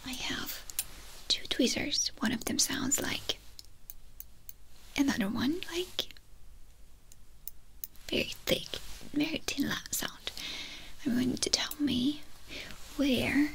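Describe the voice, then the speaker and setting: Young female ASMR artist, whispered doctor roleplay; high, breathy delivery, soft short instructions, close-miked. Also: rough recording. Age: young adult. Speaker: ASMR performer playing a doctor. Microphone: close ASMR microphones.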